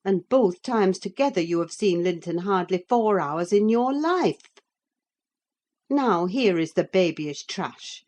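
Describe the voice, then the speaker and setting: A woman's voice: lowish voice, lively intonation, a little slow, dark tone. A woman voicing a stern older housekeeper who scolds a girl with scorn, her voice a little raised. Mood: scolding, scornful.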